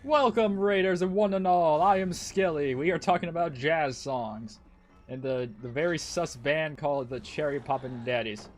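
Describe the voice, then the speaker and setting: A man's voice: fairly high pitch, normal speed, soft background music. A man chatting casually while gaming on a stream, rambling, swearing a lot and laughing often.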